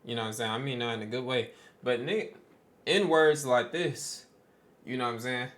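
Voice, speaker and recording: A man's voice: medium pitch, moderate pace, a bit bright. A young man hosting a reaction video, talking bluntly in slang and swearing freely as he reacts. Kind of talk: casual reaction commentary.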